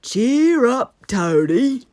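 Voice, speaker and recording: A woman voicing a grave but encouraging old male badger from a children's storybook, speaking slowly and emphatically. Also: a little high, very animated, darkish tone, no echo, studio quality, voice pushed up, heavily acted. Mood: encouraging, secretive. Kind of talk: children's storybook character reading.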